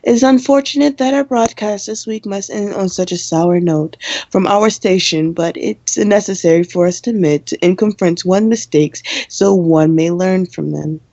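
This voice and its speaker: A woman acts as a brisk student newsreader on a campus radio show in an audio drama. She is a female presenter with a medium-pitched voice, deadpan and formal with a wry, exasperated edge. The sound is very dry and slightly lo-fi. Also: dry recording, lightly acted. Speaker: radio co-host character. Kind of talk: radio play in campus news broadcast style.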